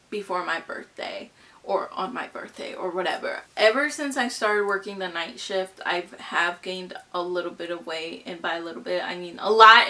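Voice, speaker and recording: Bubbly twenty-something girl from mexico talking to her camera, bright voice, lots of likes and you knows. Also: mid-range voice. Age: 20s. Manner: bubbly and gushing, lots of fillers like "you know" and "like". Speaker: vlogger. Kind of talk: casual chatty vlog.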